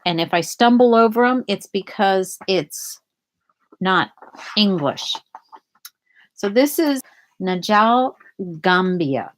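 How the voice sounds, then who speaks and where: Woman, very unhurried pace, medium pitch, storybook read-aloud, deliberate, explanatory asides. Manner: deliberate, explains words and story to young listeners. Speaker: storybook reader.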